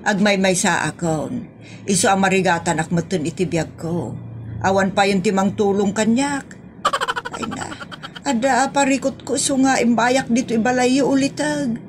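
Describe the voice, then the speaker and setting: A woman acting a plaintive, talkative Filipino woman in a comedy audio drama, with an Ilocano accent and drawn-out way of explaining. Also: medium pitch, noisy background, lightly acted. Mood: pleading.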